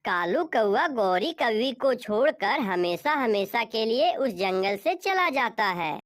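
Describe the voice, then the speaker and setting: A female narrator tells a scripted children's story. Her voice is somewhat high, and she carries the tale in the third person as a storyteller. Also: sing-song, takes it slowly.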